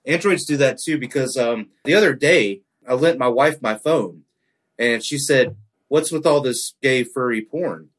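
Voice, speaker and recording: A man's voice: mid-range voice, measured pace, a bit bright. A man joking wryly and casually as a co-host on a comedy podcast.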